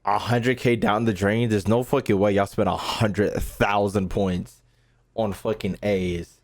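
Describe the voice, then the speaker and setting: A man giving casual livestream gameplay commentary, excitable, slangy and full of swearing. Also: fairly low pitch, measured pace, slight background noise. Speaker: game streamer. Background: game music.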